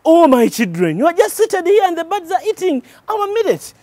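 A man voicing a big mother in a folktale who scolds loudly and commands the birds away. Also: extremely high pitch, wildly swooping pitch, normal speed, no room sound, voice pushed up, exaggerated voice. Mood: scolding. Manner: loud, commanding.

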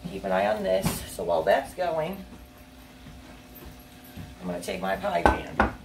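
Gravelly woman chatting over a sizzling pan. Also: fairly low pitch, big pitch swings, measured pace, heavy background noise. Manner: chatty, rambling, frequent ums and apologies. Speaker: home cooking vlogger. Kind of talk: casual cook-along chat. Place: home kitchen, cooking at the stove. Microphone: fixed camera angled down at the stove. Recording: clear frying sizzle in a home kitchen. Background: frying sizzle and stirring.

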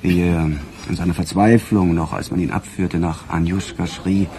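A man's voice: low voice, fairly even intonation, moderate pace, mellow. A man playing a sly, needling police detective who questions with mock courtesy in a German crime radio play. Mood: sardonic, taunting.

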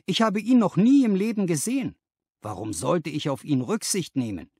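A man voicing an eager, grateful young clerk in a story, earnest and excitable. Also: sits high, moderate pace, slightly rough, dry recording, studio quality, voice pushed up, exaggerated voice. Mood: indignant.

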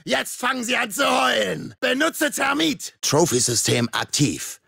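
An actor playing a menacing, sadistic masked slasher killer in a video game, raspy, with a taunting, sardonic manner. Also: male voice, very high voice, huge pitch swings, measured pace, pushed and bright, dry recording, raised voice, clean studio sound, full character voice. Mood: urgent, loud. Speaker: game character calling out combat lines. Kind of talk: video game combat voicelines in German.

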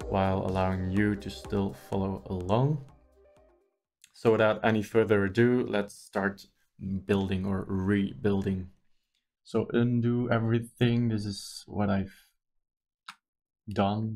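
Hesitant Belgian man explaining software. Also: on the low side, steady tone, measured pace, soft background music. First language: not English. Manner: careful, hesitant explaining with many ums and uhs. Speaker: tutorial host. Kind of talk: screen-recorded software tutorial.

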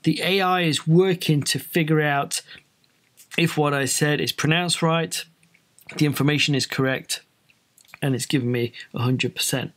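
A man's voice: on the high side, steady tone, normal speed, fairly bright tone. A British man giving an informal, explanatory walkthrough as a flight training presenter in a casual tutorial style. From Britain.

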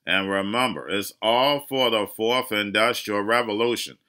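A man's voice: fairly low pitch, moderate pace, bright, forceful tone. A man hosting his own crypto channel, talking urgently and preachily, addressing viewers as "guys" and repeating warnings. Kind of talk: monologue market commentary.

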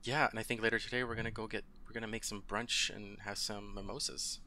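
A man's voice: on the low side, level tone, fast, background noise. A man in his thirties, a guest on a podcast, chatting casually over a video call, rambling with lots of ums and frequent laughs. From United States. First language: Spanish. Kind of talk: casual interview chat.

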